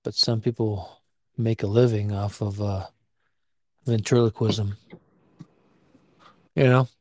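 A man's voice: lowish voice, fairly even intonation, takes it slowly, dry recording. A man hosting a podcast in a rambling, laid-back, sweary casual chat. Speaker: podcast host.

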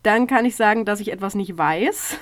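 A woman's voice: mid-range voice, normal speed, dry recording. A woman co-hosting a casual podcast, playful and jokey, laughing easily. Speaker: podcast co-host.

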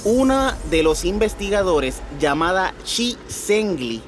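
A man's voice: a little high, a little hiss or hum. A man presenting scripted podcast commentary as host, putting rhetorical questions to his listeners. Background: background music.

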